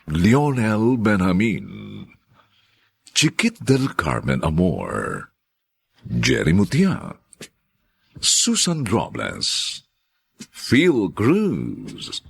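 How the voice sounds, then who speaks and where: A man with a Filipino accent narrating as a radio drama announcer, with theatrical, drawn-out phrasing. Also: deep voice, vocal fry, slightly rough.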